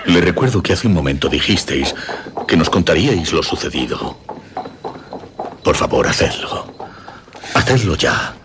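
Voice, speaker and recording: A man with a Castilian Spanish accent playing a formal, courteous but insistent gentleman in a radio drama. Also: sits low, lively intonation, a little slow, pauses often, background noise. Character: courteous, eager gentleman listening to an old friend.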